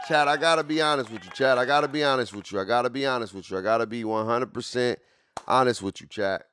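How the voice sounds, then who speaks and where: A man's voice: medium pitch, wildly swooping pitch, moderate pace, long pauses, a bit bright, a faint crowd, a little hiss or hum. A man in his late forties streaming casually, repeating himself with hype and constantly talking to his chat. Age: 40s. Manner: repetitive, hyped, keeps addressing chat.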